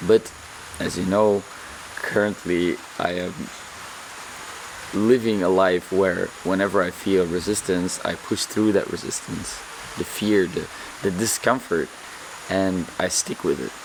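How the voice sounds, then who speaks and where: A man vlogging calmly and earnestly, his voice slightly hoarse, often repeating words as he searches for them. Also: low voice, a little slow, noisy background. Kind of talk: reflective vlog monologue.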